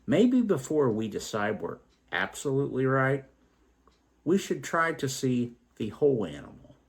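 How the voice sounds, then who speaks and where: A man telling a story and reflecting on its moral as host, in a theatrical, emphatic, deliberate way. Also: medium pitch, big pitch swings. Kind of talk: dramatic poetry recital and moral reflection.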